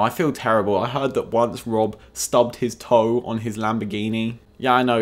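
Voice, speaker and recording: A young man with an English accent running through the day's odd news stories in a comedic vlog. His voice sits at an ordinary middle pitch. He talks with a sarcastic, mock-outraged edge. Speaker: vlogger.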